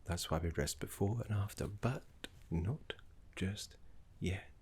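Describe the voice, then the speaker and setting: A man voicing a gentle, scholarly necromancy teacher in an ASMR roleplay, soft, breathy and half-whispered, with soothing, genteel phrasing. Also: sits low, takes it slowly, full character voice. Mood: kindly, encouraging. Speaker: teacher guiding a student.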